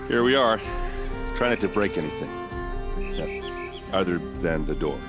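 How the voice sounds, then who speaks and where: A man playing a gruff, brash warrior in a video game, blunt and sardonic. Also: low voice, animated, a little slow, loud music behind the voice. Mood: wry. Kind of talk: video game dramatic acting.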